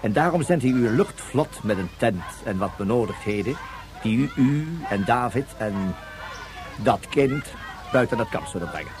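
An actor playing a formal, courteous official with dry disdain, speaking in a measured radio drama manner. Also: male voice, medium pitch, animated, natural voice. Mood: formal, polite.

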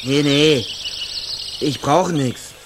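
An actor playing a guarded, evasive poor backwoods man in a radio drama, speaking in terse, halting colloquial phrases. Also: fairly high pitch, background noise. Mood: uneasy refusal. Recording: outdoor birds and insects behind voice.

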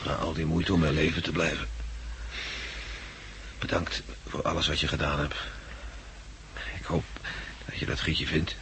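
An actor playing a plain-spoken, stoic blind companion in a 1960s Dutch radio drama. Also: male voice, rumbling low, level tone, a little slow, long pauses, fairly bright tone. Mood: resigned, dying. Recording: noisy vintage 1969 radio recording.

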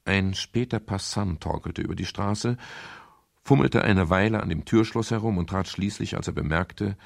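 A man playing a world-weary private detective in a radio crime drama, speaking with a dry, wry manner and a gravelly, husky voice. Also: low-pitched, moderate pace, dry recording. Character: world-weary male private detective narrating his case. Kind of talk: 1970s German radio crime drama, first-person narration and dialogue.

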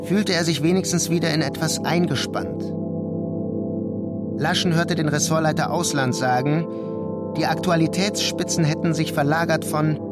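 Higher-voiced male literary narrator over music. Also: fairly even intonation, normal speed. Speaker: narrator of a literary radio play. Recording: prominent music under the voice.

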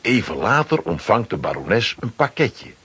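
A man's voice: fairly low pitch, big pitch swings, moderate pace, very noisy. A man reading scripted narration for a children's radio play.

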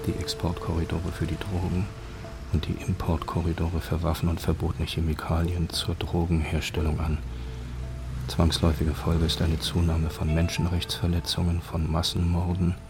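A sober male narrator for a radio documentary drama. The voice is low and very even, and the scripted delivery is formal and measured. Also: over music.

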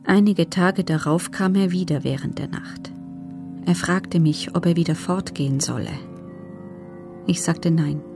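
An actress playing a guarded female prisoner in a documentary radio play, recounting her testimony in a restrained, measured, sober way. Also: lowish voice, music in the background.

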